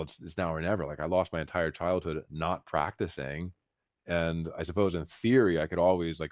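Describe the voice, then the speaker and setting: An American man telling his story casually as an interview guest, halting and repeating words with emphatic asides. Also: low-pitched, dry recording, clean studio sound. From United States, Philadelphia. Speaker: interview guest, concert pianist.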